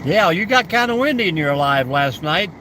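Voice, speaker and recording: A man's voice: a little high, expressive, normal speed, bright, forceful tone, a little hiss or hum. An older man hosting a casual live stream, answering viewer comments in an easygoing, folksy way and reminiscing about the old days.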